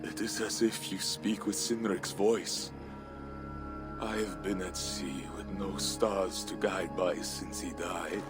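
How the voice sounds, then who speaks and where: An actor playing a grief-worn, fatalistic Viking war chief in a video game, speaking gravely and theatrically in archaic phrases. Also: male voice, low-pitched, steady tone, slow pace, over music. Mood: weary, grieving. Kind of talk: dramatic video game acting.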